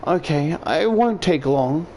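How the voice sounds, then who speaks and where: A man's voice: on the high side, expressive, takes it slowly, darkish tone, a little hiss or hum, pitched above the performer's own voice. A man voicing an earnest, anxious and affectionate teenage schoolgirl in a visual novel. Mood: worried.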